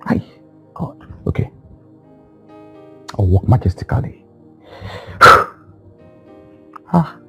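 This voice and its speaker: An actor playing a nervous young man rehearsing a proposal and coaching himself quietly. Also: lowish voice, very animated, takes it slowly, vocal fry, pauses often, exaggerated voice. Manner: hesitant, self-coaching, soft-spoken. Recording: dull lo-fi recording, faint music.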